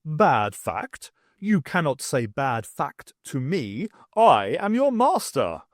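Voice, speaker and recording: A man's voice: on the high side, sing-song, slow pace, no echo, studio quality. A man voicing a pedantic, domineering alien master who speaks in clipped, formal declarations in an audiobook. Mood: indignant.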